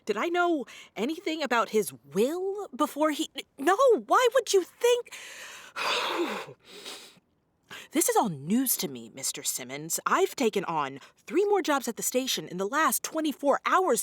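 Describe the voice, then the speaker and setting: A woman's voice: high-pitched, sing-song, unhurried, fairly bright tone, no echo, full character voice. A woman playing an overworked, wary radio station worker in a comedy audio drama, hesitant and understated. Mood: flustered, weary.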